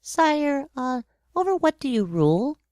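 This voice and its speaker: A woman's voice: high voice, big pitch swings, measured pace, a little mellow, no room sound, studio quality. A woman in a radio drama playing a timid, polite little boy prince who speaks hesitantly. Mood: timid, curious. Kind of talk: theatrical radio drama acting.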